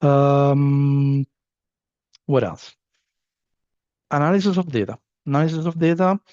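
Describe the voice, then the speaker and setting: Italian-accented man, halting and dry. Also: medium pitch, darkish tone, dry recording, dull audio. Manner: hesitant, many fillers and restarts. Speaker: trainer. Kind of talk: online training presentation.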